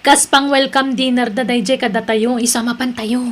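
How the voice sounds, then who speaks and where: A woman's voice: on the high side, steady tone, normal speed, lightly acted. A woman playing a chatty, helpful co-worker in an audio drama.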